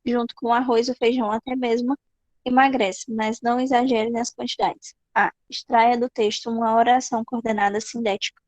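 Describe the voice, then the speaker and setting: A woman's voice: on the high side, flat delivery, normal speed, dry recording. A female student with a Brazilian Portuguese accent reading a textbook passage aloud in an online class, careful and stumbling over long words. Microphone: video call.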